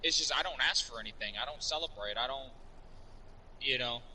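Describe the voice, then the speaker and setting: A man's voice: mid-range voice, a little fast, bright, forceful tone, slight background noise, rough recording. A man in his twenties streaming a game, chatting casually and telling rambling, profane stories. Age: 20s. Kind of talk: casual chat while gaming.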